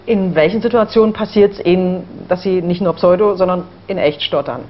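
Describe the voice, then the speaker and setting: A woman hosts a studio talk show, firing off questions at a brisk clip in a fairly low voice. She sounds engaged and keeps the conversation moving. The broadcast sound is slightly dull, with a faint hiss behind it. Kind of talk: studio interview.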